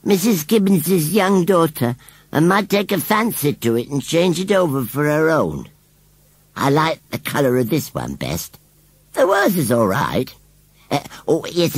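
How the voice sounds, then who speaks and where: Storybook scarecrow: rural English rustic, touchy and cheeky, raised pitch with wild swings, slightly husky. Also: male voice, big pitch swings, a little slow, dry recording, exaggerated voice. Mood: matter-of-fact. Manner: rustic, emphatic, dialect-laden speech. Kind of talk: children's storybook character voice.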